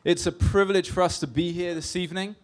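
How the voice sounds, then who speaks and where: A missionary from England preaching in short phrases, pausing after each for his interpreter. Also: high voice, steady tone. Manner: short phrases paused for translation. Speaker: missionary speaker. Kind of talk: sermon through an interpreter. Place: church hall.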